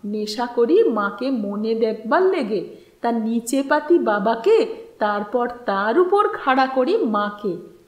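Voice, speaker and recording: Audio-story role: devout, boastful village boss, played by a woman, high voice, declamatory, roomy sound. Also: big pitch swings, slow. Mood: boastful devotion. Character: boastful, devout, hard-drinking village strongman landlord. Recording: roomy, echoing voice recording.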